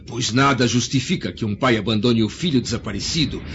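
A man's voice: medium pitch, fairly bright tone, lightly acted. A Brazilian man acting a weary, middle-aged Roman senator and grieving father in a radio drama, speaking formally and solemnly. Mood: grave, guarded. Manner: formal, solemn, measured delivery. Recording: dull lo-fi radio drama with faint noise.

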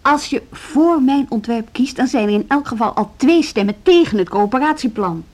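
An actress playing a determined younger deputy headmistress in a radio drama, insistent and argumentative. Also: female voice, high voice, expressive, moderate pace, a little mellow. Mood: firm, insistent. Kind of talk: Dutch social radio drama acting.

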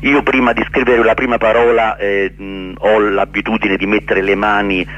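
A man answering radio interview questions earnestly and haltingly, repeating words for emphasis. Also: on the low side, flat delivery, fairly bright tone, highs cut off. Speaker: interview guest, actor and director. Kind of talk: radio interview answers, explaining his play.

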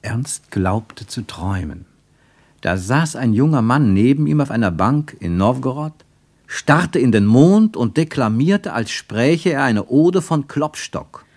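A man's voice: medium pitch, expressive, a little slow, a little mellow, dry recording. A man narrating a scripted literary radio play as a solemn, dramatic storyteller. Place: dry radio studio.

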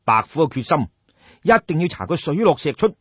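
A man's voice: a little high, big pitch swings, brisk, husky, no room sound, dull audio. A man with a Cantonese accent telling a story in a colloquial, theatrical traditional oral style, addressing listeners directly. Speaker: storyteller, audiobook narrator.

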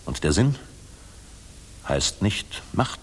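Deep-voiced, world-weary German general. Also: male voice, big pitch swings, slow, slight background noise, heavily acted. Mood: musing, earnest. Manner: musing, commanding, theatrical. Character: world-weary, dashing middle-aged German air force general, philosophical and gruff. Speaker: actor in a radio play. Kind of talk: 1960s German radio drama acting. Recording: reverberant 1960s mono radio recording.

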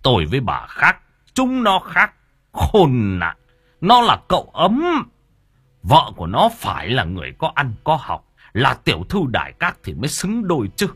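A man's voice: mid-range voice, wildly swooping pitch, moderate pace, sharp tone, husky, voice pushed up, heavily acted. A man voicing a furious, domineering middle-aged father in an audio story, cursing and repeating himself in rage. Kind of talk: acted dialogue in audio storytelling.

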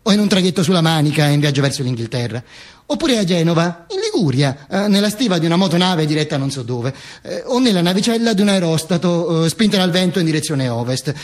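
A man's voice: a little high, big pitch swings, fast talker. A man performing a theatrical comic monologue as a dead man telling his own story, mock-serious and emphatic, with repetitions and asides. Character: Mussolini's corpse narrating its own misadventures with ironic wit. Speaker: stage actor and monologist.

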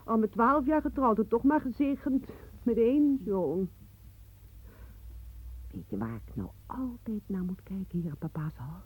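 A woman's voice: a little high, animated, a little slow, long pauses, slightly rough, poor audio. An actress playing a grasping daughter with eleven children who gossips, schemes over her father's riches and laughs often. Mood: mocking.

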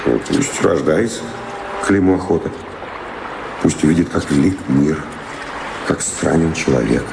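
An actor playing a restless, freedom-loving seafaring lover in a radio drama, with a gravelly voice and an impassioned, rhetorical, bitterly defiant delivery. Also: male voice, a bass voice, normal speed, background noise. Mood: wistful, dismayed. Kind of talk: theatrical radio drama acting. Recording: echoey studio drama, loud music underneath. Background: loud music.

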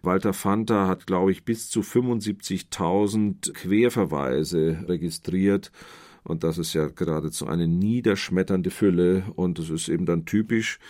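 A man giving explanatory literary commentary as an expert, in a measured, scholarly manner and long sentences. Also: low voice, steady tone, darkish tone.

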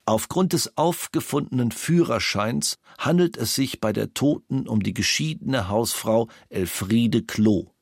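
A male investigator reads a crime-scene report in a mid-pitched voice. He is formal, clinical and detached, in a radio documentary style, recorded dry with no room sound. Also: a little slow, gravelly, few pauses, no room sound. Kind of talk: scripted documentary reading of a police report.

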